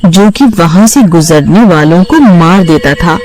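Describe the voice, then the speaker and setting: A woman narrating a scripted children's horror story in a measured storybook manner. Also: fairly low pitch, lively intonation. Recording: music behind the voice.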